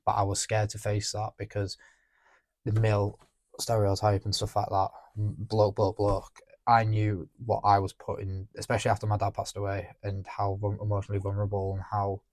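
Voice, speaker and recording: A young man talking candidly on a podcast, reflective and halting, often restarting his sentences. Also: fairly low pitch, monotone, a little mellow, no echo. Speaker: podcast co-host. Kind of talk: candid emotional conversation.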